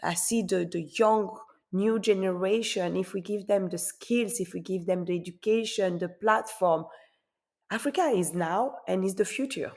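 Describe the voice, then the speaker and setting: A woman's voice: mid-range voice, moderate pace, darkish tone. A woman born in Congo and raised in Belgium and Switzerland, answering interview questions earnestly and often repeating words as she searches for the next one. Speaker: guest.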